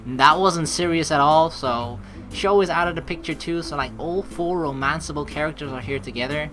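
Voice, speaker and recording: A woman hosting a let's play, reading story narration aloud and reacting with animated, excitable commentary. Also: sits low, moderate pace.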